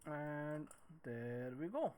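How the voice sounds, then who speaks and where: A man in his thirties giving a casual software tutorial at his computer, thinking aloud and muttering counts to himself as he works. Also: on the high side, big pitch swings, very slow. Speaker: 3D modelling tutorial host.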